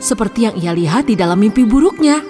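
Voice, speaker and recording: A woman's voice: mid-range voice, expressive, fast, dark tone, in a large hall, faint music behind. A woman narrating a scripted fairy tale for children as storyteller.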